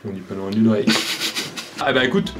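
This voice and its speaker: A man bantering as a guest in a comedic chat, joking with animated delivery. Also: on the low side, moderate pace, music in the background.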